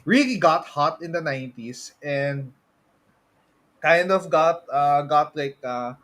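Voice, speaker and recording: A man's voice: fairly high pitch, lively intonation, slow pace, fairly bright tone. A man with a Filipino accent hosting a casual podcast, mixing Tagalog particles into his English. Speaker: podcast host.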